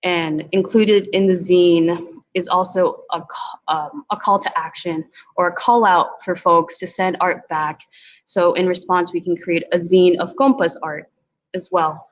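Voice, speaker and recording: A woman's voice: fairly low pitch, steady tone, unhurried, lo-fi sound. A young woman whose first language is Tagalog, presenting at a virtual community event in a hesitant way with many ums. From Philippines. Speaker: community organizer.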